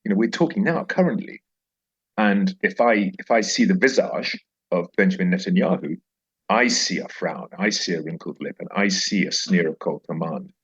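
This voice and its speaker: Deep-voiced man, smooth and resonant, slightly dull recording. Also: lively intonation, normal speed, fairly bright tone. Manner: emphatic, repeats phrases for effect. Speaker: interview guest, journalist. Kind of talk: reflective interview conversation with recited verse.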